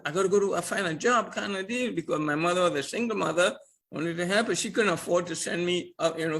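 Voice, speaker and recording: Older man, high voice. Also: rough recording. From Trinidad and Tobago. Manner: animated, chuckling, repeats words. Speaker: guest. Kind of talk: casual storytelling interview.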